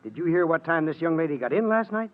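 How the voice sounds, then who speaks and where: Actor as breezy, teasing husband, vintage radio drama; lively swooping delivery, phone-like old recording. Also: male voice, on the high side, quick pace, lightly acted. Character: jovial, teasing, affectionate young husband. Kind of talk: old-time radio melodrama acting. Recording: tinny, narrow-band old radio recording.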